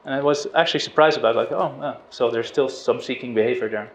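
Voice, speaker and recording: A middle-aged man from the Netherlands giving an informal spiritual talk, relaxed and hesitant, with restarts and soft laughs. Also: mid-range voice, fast talker. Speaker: spiritual teacher. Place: outdoors on a river boat. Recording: roomy, dull lo-fi sound with faint noise.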